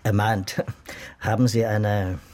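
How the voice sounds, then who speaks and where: A dry, probing detective. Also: male voice, fairly low pitch, little pitch movement, slow pace, a little mellow. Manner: dry, pointed questioning. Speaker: police detective. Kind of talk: radio crime drama acting.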